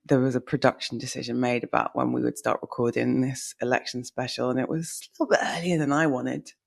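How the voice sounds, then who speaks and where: Husky-voiced woman, chatty co-host. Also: rumbling low, fairly even intonation. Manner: chatty and wry, quick to joke. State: husky from a late night. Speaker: podcast co-host. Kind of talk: casual chat.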